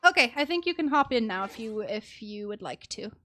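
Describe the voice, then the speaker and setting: High-voiced woman streaming a game. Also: lively intonation, a little fast, sharp tone. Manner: chatty, muttering to herself while reading. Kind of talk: casual live gameplay chat, thinking aloud. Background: music.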